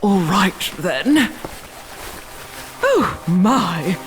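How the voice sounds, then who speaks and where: A woman's voice: medium pitch, big pitch swings, very slow, faint music behind. An actress playing a polite, gracious and easily bemused medieval queen in a comic audio drama.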